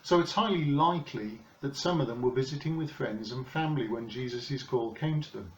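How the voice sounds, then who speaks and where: An older British man preaches in a calm, measured, earnest way, seated at home in front of a camera. His voice has a middle pitch, the room sounds hollow and echoey, and the recording is a little dull. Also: slight background noise. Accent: British English. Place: living room, indoors. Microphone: camera in front of him, seated.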